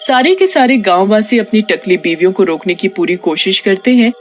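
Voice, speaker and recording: A story narrator delivers scripted lines with lively intonation. The voice sits at a middle pitch over faint background music. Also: brisk.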